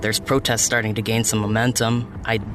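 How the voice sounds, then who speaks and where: A man's voice: lowish voice, flat delivery, normal speed, background noise, close to an everyday voice. An actor playing a blunt, frustrated man on the run who plans for his team in an audio drama. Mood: focused.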